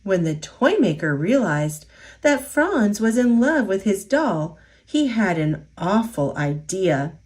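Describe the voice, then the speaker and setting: A woman reading a children's picture book aloud in an expressive storytelling voice. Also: medium pitch, takes it slowly.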